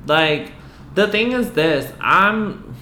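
Frank, bright-voiced woman in an echoey room. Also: on the low side, drawn-out words, a little hiss or hum. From US East Coast. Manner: frank, animated, peppered with "like" and swearing. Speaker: guest. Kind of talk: candid conversational storytelling. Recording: roomy echo.

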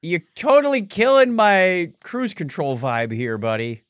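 A man's voice: high voice, measured pace, dry recording, clean studio sound. A man co-hosting a podcast, chatting casually with a joking, playful manner. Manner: joking, lively, playful asides. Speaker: podcast co-host. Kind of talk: casual banter.

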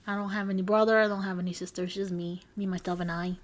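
A woman's voice: mid-range voice, flat delivery, fast talker. A young adult woman co-hosting a podcast, chatting casually, rambling and correcting herself with frequent giggles. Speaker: podcast co-host. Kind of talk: casual chat.

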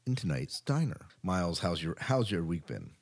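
A man's voice: low voice, very animated, moderate pace. An American man hosting a podcast in a casual, chatty way, often repeating his phrases. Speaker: podcast host. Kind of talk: casual podcast chat and show rundown.

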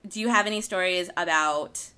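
A young woman with a bright, forward voice co-hosts a podcast. She talks in a loose, chatty way, laughs often and trips over her own words. She grew up in Argentina. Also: lowish voice, normal speed, smooth. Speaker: podcast co-host. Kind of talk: casual chat.

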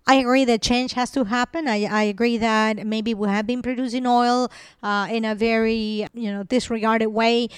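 Woman guest from Colombia, persuasive and earnest; clear voice, dry close sound. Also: on the high side, little pitch movement, moderate pace, dry recording. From Colombia, raised in Venezuela. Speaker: podcast guest, nonprofit founder. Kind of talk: conversational interview.

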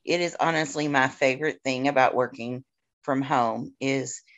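A woman's voice: very deep voice, steady tone, normal speed. A woman co-hosting a podcast, chatting in an agreeable, easygoing way with fillers. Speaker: podcast co-host. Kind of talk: casual podcast chat.

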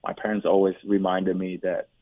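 Low-voiced man from Puerto Rico, phone-line sound. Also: little pitch movement. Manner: halting, many restarts and fillers, candid. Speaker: guest. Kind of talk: personal testimony interview. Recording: dry, tinny phone-like line.

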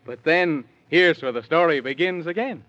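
A man's voice: on the high side, normal speed, a bit bright. A man narrating a 1930s children's radio serial in sing-song rhyming verse. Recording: dull 1930s radio recording.

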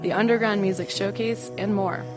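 A woman's voice: medium pitch, fairly even intonation, moderate pace. A woman reading a scripted local ad, listing sponsors in a promotional manner. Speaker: ad announcer. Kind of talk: scripted radio ad read. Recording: ad read over music. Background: music.

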